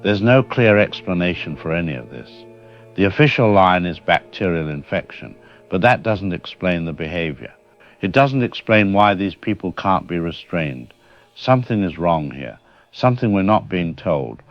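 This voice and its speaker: A grave doctor warning radio listeners in an audio drama. His voice sits low, frank and urgent. The sound is slightly lo-fi, with music faintly underneath. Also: expressive, normal speed. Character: grave, worried male doctor warning the public. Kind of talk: radio news interview acting.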